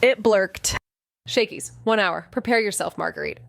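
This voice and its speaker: A woman's voice: medium pitch, lively intonation, normal speed, creaky, fairly bright tone, no echo. A woman playing a brash, sarcastic young sleuth. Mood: breezy. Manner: casual, sarcastic, filler-heavy. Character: brash, impulsive young woman amateur detective. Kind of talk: audio drama acting.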